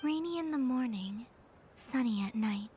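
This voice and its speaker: A woman voicing a gentle, curious, otherworldly young woman in a game, speaking softly in simple, sincere sentences. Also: on the high side, lively intonation, moderate pace, background noise, lightly acted. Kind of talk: animated game dialogue. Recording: music playing behind.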